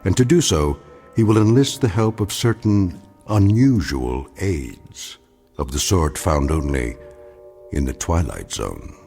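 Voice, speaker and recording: An actor as a grave, wry storyteller, giving measured, portentous narration for an eerie radio drama. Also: male voice, deep voice, sing-song, creaky, heavily acted. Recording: music behind the narration.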